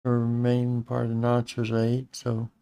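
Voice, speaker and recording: A middle-aged man with a slightly raspy voice, hosting a casual live-streamed Linux tutorial, rambling with fillers and restarts. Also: mid-range voice, monotone, moderate pace, dark tone, dry recording, studio quality. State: slightly raspy, clearing his throat.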